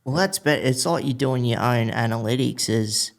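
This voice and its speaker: Gravelly, blokey Australian male podcast host. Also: mid-range voice, normal speed. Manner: blokey, slangy, informal. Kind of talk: casual chat, interviewing.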